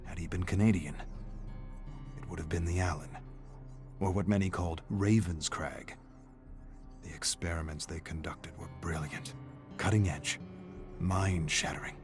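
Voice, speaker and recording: A man giving a dramatic, brooding narration of dark fiction in terse, clipped phrases, like game lore. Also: low voice, fairly even intonation, moderate pace, long pauses. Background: background music.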